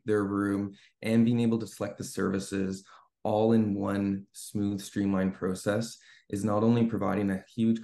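A man co-hosting a webinar over a video call, explaining the product in a steady, businesslike way. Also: on the low side, vocal fry. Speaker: webinar co-host. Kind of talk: business presentation. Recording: echoey room.

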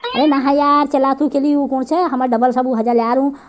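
Cartoon role for a woman: exasperated, gullible rural mother, Kumaoni accent, grumbling delivery, fairly high pitch. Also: fairly even intonation, a little fast, close to an everyday voice. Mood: anxious, insistent. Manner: grumbling, rustic. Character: gullible, exasperated village mother of a grown son. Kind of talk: comic cartoon dialogue.

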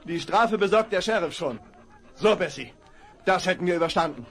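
An eager young hero. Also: male voice, high-pitched, lively intonation, moderate pace. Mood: relieved. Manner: eager, commanding. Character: young man, brave dog owner. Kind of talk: 1970s radio play acting.

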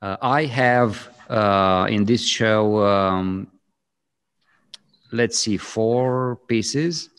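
A man in his thirties with a strong Romanian accent, co-hosting a casual video call chat, talking hesitantly with many pauses. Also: fairly low pitch, fairly bright tone, smooth. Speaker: co-host, photographer. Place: home studio with framed art on walls. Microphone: studio mic on boom arm.

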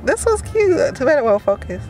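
A woman's voice: high voice, big pitch swings, moderate pace, soft background music. A young American woman chatting casually with a friend as she vlogs on a handheld camera. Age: young adult. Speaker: vlogger. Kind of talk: casual vlog chat with a friend. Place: outdoors on a city sidewalk and in a car back seat. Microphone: handheld camera at arm's length. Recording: loud street and car noise. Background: traffic and vehicle ambience.

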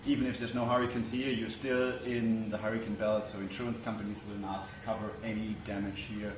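A man interviewing cruisers in a chatty, agreeable, somewhat halting way. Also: on the low side, flat delivery. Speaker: interviewer, sailing channel host. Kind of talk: casual interview questions. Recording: echoey veranda with background noise.

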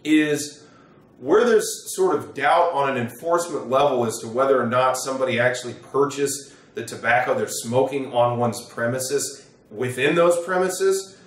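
A man's voice: mid-range voice, moderate pace. American man, distant and echoey. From United States. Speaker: attorney, presenter. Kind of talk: informal explainer to camera. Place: small wood-panelled office, indoors. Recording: roomy, echoing sound from a distance.

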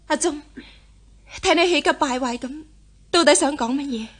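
An actress playing a resolute, devoted young gentlewoman in a historical radio drama. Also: sits high, moderate pace. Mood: eager, urgent. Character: resolute, devoted young daughter of a gentry household.

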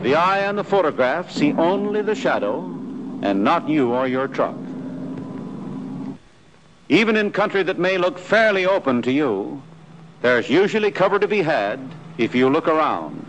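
A man with an American accent reads a scripted 1940s army training film narration. His voice sits a little high, and he gives instructions in a clear, imperative manner. The old film soundtrack carries a faint layer of noise. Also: sing-song, moderate pace, lo-fi sound.

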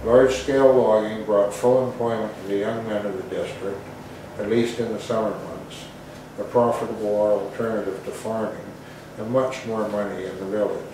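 An older man in his seventies reads history aloud in a lowish voice. He sits well away from the microphone in a very echoey room, with clear noise behind him. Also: steady tone, normal speed. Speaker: reader of local history. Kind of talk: reading aloud from a history book. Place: small wood-panelled room, indoors. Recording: roomy, distant mic, noticeable background noise.

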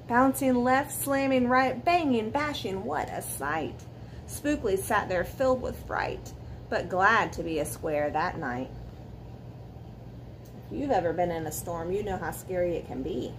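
A woman in her forties reads a children's bedtime story aloud with dramatic emphasis, pausing to put questions to young listeners. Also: medium pitch, rough recording. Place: living room at home beside a fireplace. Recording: clear background noise, room ambience.

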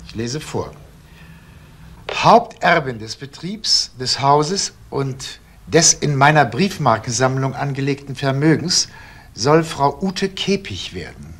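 An actor playing a formal notary in a crime radio play, speaking in a dry, measured, official manner. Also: male voice, medium pitch, big pitch swings. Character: formal, precise notary reading out documents.

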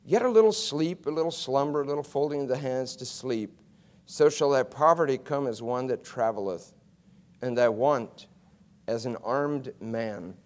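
A middle-aged man preaching a chapel sermon from a pulpit, going from soft and low to loud and emphatic, often restarting his words. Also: moderate pace. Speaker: guest preacher. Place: college chapel stage behind a pulpit. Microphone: pulpit mic, wide fixed camera.

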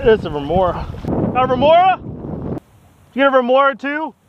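A man chatting excitedly while fishing from a kayak at sea, caught on a hat-mounted action camera. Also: very high-pitched, fairly quick. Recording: windy dull action-cam audio.

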